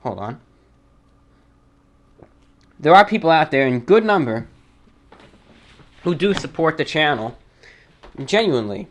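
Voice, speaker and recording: A man hosting a listener-mail show, reading letters and commenting casually in a halting, deliberating way, often repeating his phrases. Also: mid-range voice, a bit bright.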